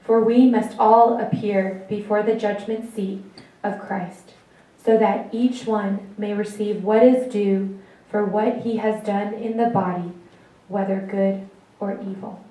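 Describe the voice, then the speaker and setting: A woman reading scripture solemnly at a memorial service, in a measured and formal way. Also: medium pitch, fairly even intonation, dark tone. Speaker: scripture reader. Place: large reverberant room at a memorial service. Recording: very echoey, distant, dull sound.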